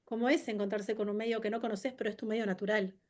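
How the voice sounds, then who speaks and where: A woman hosting a webinar interview, talking in a halting, conversational way with many restarts. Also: medium pitch, steady tone. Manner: warm-hearted, halting with many restarts and fillers. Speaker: webinar host.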